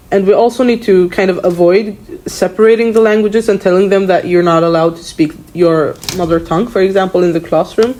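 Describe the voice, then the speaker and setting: A woman teacher whose first language is Arabic talks through her thoughts in English, hesitating on fillers along the way. Her voice sits low and sounds soft and mellow. She speaks in a relaxed discussion. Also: low-quality recording. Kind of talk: casual discussion.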